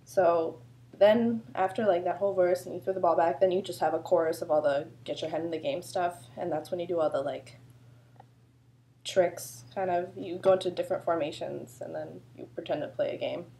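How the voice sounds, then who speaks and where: A woman's voice: on the low side, steady tone, normal speed, gravelly, warm tone. A woman choreographer with a sore throat, explaining a dance routine in a chatty, loose way full of fillers. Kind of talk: casual dance instruction video.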